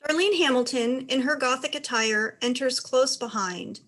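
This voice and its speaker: A woman's voice: high-pitched, moderate pace, a bit bright. A woman reading out stage directions as the narrator of a dramatized play reading.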